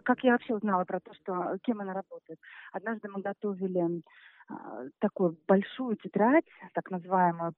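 Rapid, rambling woman, slightly lo-fi. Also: mid-range voice, dry recording. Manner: rambling, halting, self-interrupting. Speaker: interviewee recalling a friend. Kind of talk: casual spoken recollection.

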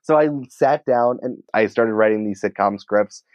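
A man's voice: on the low side, moderate pace, darkish tone, dry recording. A man speaking as a podcast guest in a casual, emphatic, opinionated conversational way. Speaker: podcast producer and writer, interview guest.

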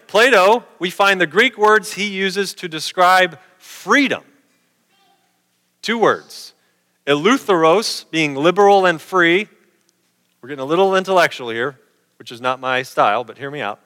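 A man's voice: fairly high pitch, sharp tone, in a large hall. A middle-aged man preaching a sermon in a conversational way, posing questions to his listeners.

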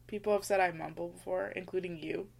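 A woman's voice: fairly low pitch, steady tone, fast, creaky. A woman with a slight lisp, co-hosting a podcast in casual banter with a bossy, teasing manner. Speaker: podcast host. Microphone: close to a shared podcast mic.

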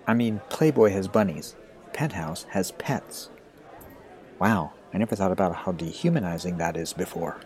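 A man's voice: low-pitched, lively intonation, normal speed, a little mellow. A man playing a wry, awkward ninth-grade boy with a grown-up mind, hesitant and thoughtful, in an audio drama. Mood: wry, embarrassed.

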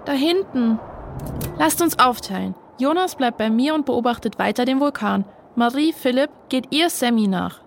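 A determined child adventurer in a children's audio drama. The voice is fairly high, earnest and decisive, with some ambient rumble behind it. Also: female voice, animated, moderate pace, vocal fry. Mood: urgent. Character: determined, trusting child leading friends on a rescue. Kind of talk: German children's radio play acting.

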